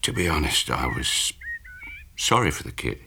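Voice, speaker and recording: A man's voice: very deep voice, very animated, normal speed, gravelly, noisy background, exaggerated voice. An actor playing a defensive, resentful middle-aged British businessman in a radio drama, with a hesitant, bitter manner. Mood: wistful.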